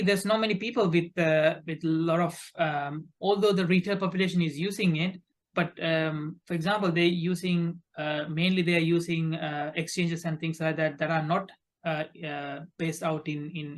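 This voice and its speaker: A man from Sri Lanka answers interview questions conversationally, often halting and repeating words mid-phrase. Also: a little high. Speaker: podcast guest, recruitment company founder.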